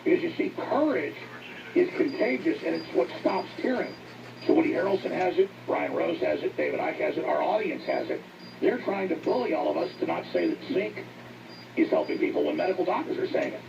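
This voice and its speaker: Gravelly, fervent male talk show host. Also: fairly high pitch, animated, a little fast, recorded from a distance. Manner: fervent, exhorting. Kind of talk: impassioned political talk show monologue. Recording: noisy, dull radio playback picked up from afar.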